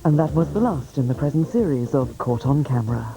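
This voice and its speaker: A man's voice: mid-range voice, animated, a little fast. A man with a British accent making scripted television continuity announcements. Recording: dull lo-fi broadcast, loud music behind.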